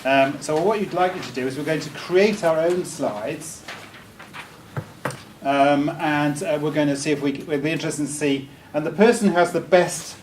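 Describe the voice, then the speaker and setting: A man's voice: fairly high pitch, moderate pace. A man from Wales, giving a chatty, joking talk to a live audience with many ums and restarts. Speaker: speaker. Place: meeting room. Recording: distant mic with faint room noise.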